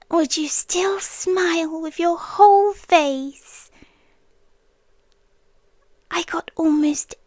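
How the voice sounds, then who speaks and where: A wistful forest being in the shape of a devoted girlfriend , acted by a woman, with a high British voice. She talks extremely slowly and intimately, often dropping into whispers. It is an ASMR roleplay, close and dry on the microphone. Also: a bit bright, no echo, full character voice. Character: wistful, devoted inhuman childhood friend from the forest, yandere girlfriend. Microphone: close, dry studio-style microphone.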